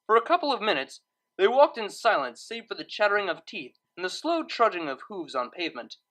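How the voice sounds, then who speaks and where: A woman reading a story aloud as narrator, in expressive scripted narration. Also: medium pitch, brisk.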